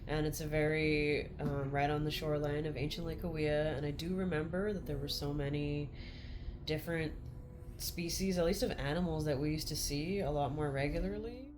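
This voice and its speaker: An earnest, reflective woman in her thirties, originally from California, speaks with a notably low voice. Clear background noise runs beneath her words. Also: steady tone, moderate pace. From Torres Martinez Reservation, California. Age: 30s. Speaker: interviewee. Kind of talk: documentary interview.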